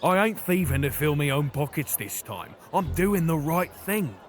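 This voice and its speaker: Guy doing victorian crook gone good, animated, highish voice, earnest, audio drama. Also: moderate pace, slight background noise, natural voice. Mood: earnest, urgent. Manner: earnest, informal street talk. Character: scrappy, loyal reformed Victorian thief. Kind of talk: children's audio drama acting.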